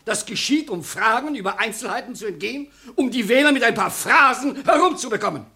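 A combative heckler in a 1970s German radio drama, throwing accusations in a very high, sharp voice. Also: male voice, animated, brisk, full character voice. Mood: accusing. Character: combative, accusing male heckler at a political meeting.

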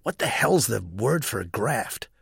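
A man's voice: fairly low pitch, sing-song, unhurried, gravelly, husky, dry recording, studio quality, exaggerated voice. A man voicing an earnest, exasperated young man in a story reading. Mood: plaintive. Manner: laborious French, plaintive and acerbic English.

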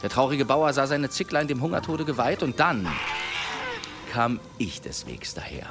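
An actor playing a boastful, theatrical demon hunter who spins tall tales with grand relish in a German historical audio drama. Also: male voice, medium pitch, big pitch swings, moderate pace, fairly bright tone, soft background music. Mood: relishing, dramatic.

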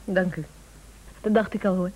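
Een actrice in een Nederlands hoorspel speelt een sluwe, praktische echtgenote die bazig en vleiend aandringt. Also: female voice, mid-range voice, fairly even intonation, normal speed, warm tone, natural voice, rough recording. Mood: politely probing. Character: shrewd, scheming, persuasive wife, practical and bossy. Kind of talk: Dutch radio play acting.

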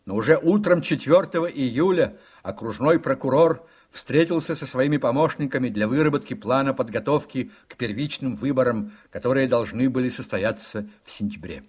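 A man narrating a radio drama from a script, in an expressive, measured storytelling manner. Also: medium pitch, slightly rough.

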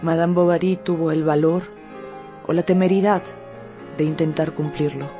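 A woman with a lowish, dark and mellow voice narrates a radio novel. She is measured and dramatic, leaving weighted pauses, over faint music. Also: fairly even intonation.

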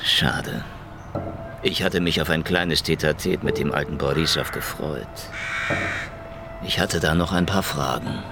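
Low, gravelly, sardonic police investigator. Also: male voice, measured pace, long pauses, music in the background, exaggerated voice. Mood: sardonic, probing. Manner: dry, sarcastic, probing. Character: sardonic, hardboiled male Soviet secret police investigator. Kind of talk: German radio crime drama acting.

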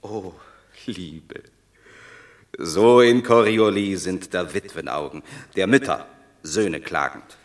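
An actor playing a proud, unbending yet tender Roman war hero in a classical radio drama, grave and declamatory. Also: male voice, low voice, takes it slowly. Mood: tender gravity.

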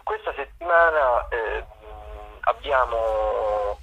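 A man, a theatre critic, giving the week's theatre listings over the phone in a relaxed, rambling way full of hesitations. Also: mid-range voice, slow, slightly rough. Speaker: theatre critic and regular contributor. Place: at a festival, away from the studio. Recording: tinny phone line.

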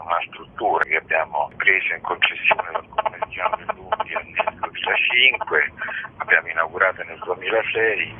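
Older male guest, extremely husky and gravelly, rambling explanations down a narrow phone line. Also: extremely low pitch. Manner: discursive, digressive. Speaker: magazine director, interview guest. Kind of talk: spoken interview explanation.

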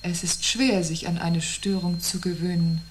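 An actress playing an uneasy but stoic woman facing the world's end in a radio drama, speaking in terse, measured phrases. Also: on the low side, level tone, lightly acted. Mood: stoic, resigned. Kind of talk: 1970s German radio drama acting. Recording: 1970s radio recording with faint hum.

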